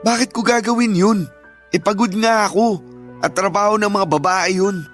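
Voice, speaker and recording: Actor as selfish young philanderer, radio drama; high male voice, whining and defensive over soft music. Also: steady tone, moderate pace, lightly acted. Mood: whiny, demanding. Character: lazy, selfish young cheating husband, whiny and shameless. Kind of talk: Filipino radio drama acting.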